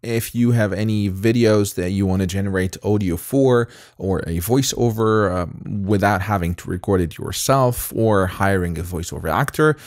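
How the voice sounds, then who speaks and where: A man in his thirties with a non-native English accent, casually demoing software in a relaxed, chatty way with frequent fillers, recorded at home on a close mic. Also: on the low side, no room sound. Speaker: tech reviewer. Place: home studio room with colored lights.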